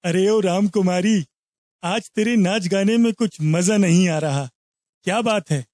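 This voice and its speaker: A man's voice: high voice, measured pace, a little mellow, no room sound, faint music behind. A man voicing a greedy, heartless, bullying village moneylender in a cartoon moral story, bossy and scornful. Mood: lazy displeasure.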